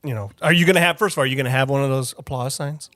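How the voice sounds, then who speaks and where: A man's voice: medium pitch, racing, a bit bright, no echo. A man co-hosting a podcast in casual interview chat, joking and enthusiastic. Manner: jokey, enthusiastic. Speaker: podcast co-host. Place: indoors at a small theatre.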